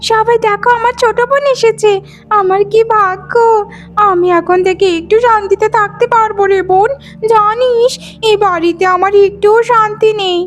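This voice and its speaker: Casting: woman for greedy, argumentative young cartoon wife, piping very high voice, complaining manner, faint music behind. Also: fairly even intonation, moderate pace, a bit bright. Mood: excited, complaining. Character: quarrelsome, greedy young daughter-in-law, whining and resentful. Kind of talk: cartoon moral-story dialogue. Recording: music under the voice.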